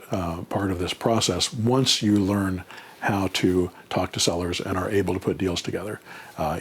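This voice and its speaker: A man's voice: lowish voice, darkish tone, slightly rough. A man giving casual, unscripted advice as a mentor answering questions, in a plainspoken, conversational way.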